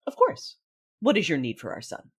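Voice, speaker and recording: A ruthless Sith lady for a space-fantasy audio drama. Her voice is husky and breathy, with a measured, dry delivery that carries cool menace. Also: on the low side, huge pitch swings, full character voice. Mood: sly, cutting. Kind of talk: dramatic audio drama acting and first-person narration.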